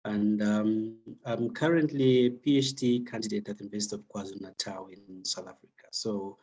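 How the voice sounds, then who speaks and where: Low-voiced man from Zambia. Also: fairly even intonation, a little mellow, soft background music. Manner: halting, frequent "uh" and "um". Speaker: PhD candidate, panel guest.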